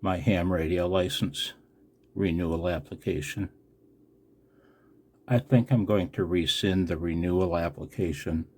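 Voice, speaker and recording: An older man from the United States in a casual, unscripted vlog as a radio operator, blunt and wry with a gravelly, husky voice. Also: low-pitched, fairly even intonation, a little slow, pauses often. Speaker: amateur radio operator and video host. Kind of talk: casual, unscripted video commentary.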